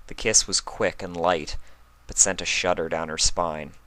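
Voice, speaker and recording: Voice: deep voice, fairly even intonation, a little slow, fairly bright tone. A fan fiction reader giving a scripted narration of a romantic story.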